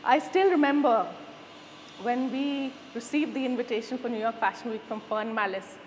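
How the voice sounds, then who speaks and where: Woman interviewee, a bit high, enthusiastic, echoing space with faint music. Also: moderate pace, a little hiss or hum. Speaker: interviewee, designer's wife. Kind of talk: casual interview talk.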